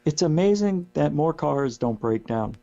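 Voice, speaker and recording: Hoarse middle-aged man, gravelly and dark. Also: fairly high pitch, expressive, measured pace. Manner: casual, rambling with many restarts. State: hoarse, losing his voice. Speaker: guest. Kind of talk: casual radio chat. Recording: dull lo-fi line with mains hum.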